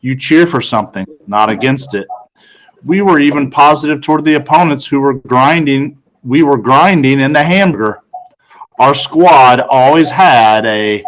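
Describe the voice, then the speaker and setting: A man's voice: mid-range voice, normal speed, fairly bright tone, low-quality recording. A man reading a first-person storybook narration aloud. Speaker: audiobook reader.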